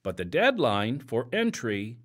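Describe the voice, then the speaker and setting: A man's voice: medium pitch, huge pitch swings, unhurried. A man hosting a podcast, reading announcements in an earnest, emphatic way.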